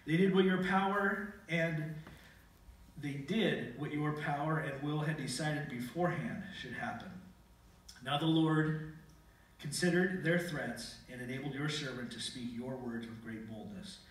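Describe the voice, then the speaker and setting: A man's voice: on the high side, a little slow. A middle-aged man preaching a sermon and reading scripture aloud with earnest emphasis in a church sanctuary. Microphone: pulpit mic, filmed from a far camera. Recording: very echoey hall from far off.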